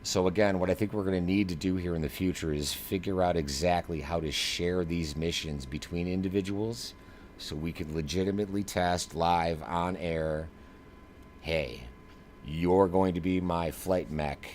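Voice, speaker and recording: Man, low pitch, streamer commentary, casual slangy delivery, emphatic phrasing, noticeable background noise. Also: fairly even intonation, moderate pace, darkish tone. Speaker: flight simulator streamer. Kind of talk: live game demo commentary.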